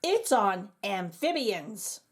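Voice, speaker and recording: A woman's voice: mid-range voice, sing-song, takes it slowly, husky, heavily acted. A woman voicing a clumsy, bumbling male stage magician in a showy, flustered manner.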